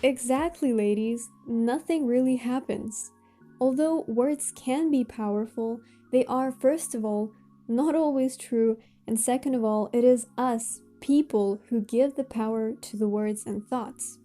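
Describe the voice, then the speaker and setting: A woman with a Russian accent, a native Russian speaker, gives motivational advice straight to viewers in measured, deliberate phrases with emphatic pauses. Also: a little high, mellow, soft background music. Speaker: host of a self-improvement channel.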